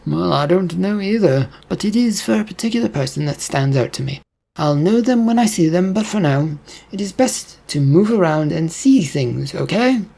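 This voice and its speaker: A man's voice: high voice, animated, moderate pace, gravelly, dark tone, slightly rough, pitched above the performer's own voice, exaggerated voice. A man playing a friendly homeless man in an audiobook story, hesitant, polite and oddly candid. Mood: patient.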